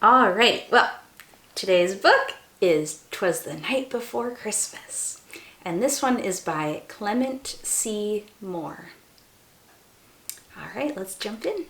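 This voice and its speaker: A woman reading a rhyming children's story aloud as a storytime host, with expressive, cooing delivery aimed at young listeners. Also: mid-range voice, slow pace, reverberant. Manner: animated, gasping, cooing asides to young listeners.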